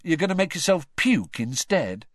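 A man's voice: on the high side, big pitch swings, moderate pace, dry recording, clean studio sound, pitched above the performer's own voice. A man voicing an easygoing teenage boy with a sly, joking way of talking. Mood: teasing.

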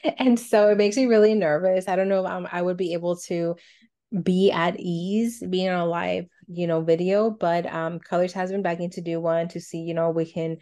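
A woman with a fairly low voice talks as a podcast co-host. Her delivery is casual and conversational, as if she is thinking aloud while she explains things. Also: steady tone, moderate pace, warm tone.

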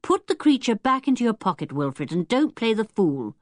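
A woman voicing a sensible older boy who leads the group calmly and firmly. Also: fairly high pitch, normal speed, no echo, clean studio sound, pitched above the performer's own voice. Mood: steady. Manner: reasonable, calm, firmly in charge.

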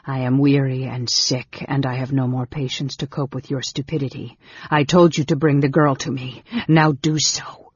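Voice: medium pitch, little pitch movement, normal speed, slightly rough, dry recording, highs cut off. A performer voicing a frail, sickly young male mage in a weak whisper, sneering, formal and commanding, for a fantasy story. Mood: impatient, commanding.

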